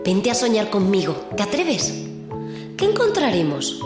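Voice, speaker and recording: A woman's voice: medium pitch, wildly swooping pitch, unhurried. A woman voicing the playful, whispery imagination that invites a child to dream, in an amateur radio drama. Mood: coaxing. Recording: roomy audio drama with loud music.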